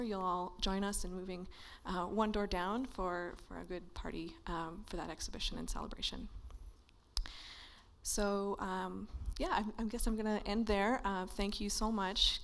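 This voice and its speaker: A woman giving quick, slightly hesitant opening remarks and thanks as the host of a symposium in a lecture hall. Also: medium pitch. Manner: quick, hesitant, flat delivery. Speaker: event organizer and host.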